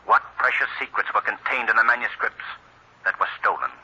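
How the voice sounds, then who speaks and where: An actor playing an invisible, coolly menacing avenger in an old radio mystery, speaking with formal, deliberate, mocking authority. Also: male voice, medium pitch, bright, forceful tone, breathy edge. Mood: calm, commanding. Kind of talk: 1940s radio mystery acting. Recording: dull, muffled old radio recording.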